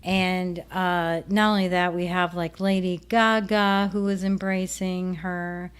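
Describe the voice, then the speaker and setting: A woman's voice: on the low side, flat delivery, slow pace, clear, resonant tone. A woman with a Minnesotan accent hosting a show, talking casually to her viewers in a rambling way that trails off. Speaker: show host.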